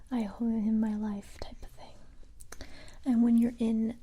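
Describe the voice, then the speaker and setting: A woman whispering softly and breathily in an ASMR roleplay as a gentle but tough special-forces recruiter, speaking in a halting, reassuring way. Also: a little high, slightly rough.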